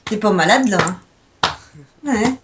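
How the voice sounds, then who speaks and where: A woman's voice: mid-range voice, lively intonation, takes it slowly, roomy sound, noisy background. A woman scolding her son from across the room about his medicine. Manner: scolding, nagging. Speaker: mother in the background. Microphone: picked up from across the room. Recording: distant, off-mic voice.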